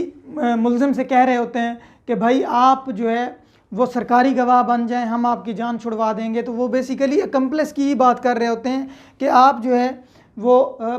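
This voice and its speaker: The recording is somewhat dull and lo-fi, carrying a woman's high voice with a Pakistani accent. She speaks as a lecturer, explaining step by step and restating key points. English technical terms are woven into her speech. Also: darkish tone. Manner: methodical, repeats key points, mixes in English legal terms. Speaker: law lecturer. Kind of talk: explanatory lecture with everyday examples.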